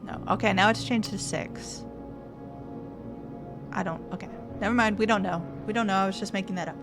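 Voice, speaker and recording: A woman's voice: medium pitch, steady tone, a little fast. A woman streamer playing a game, thinking aloud and reading its text to viewers in casual commentary. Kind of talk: let's play commentary. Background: game music.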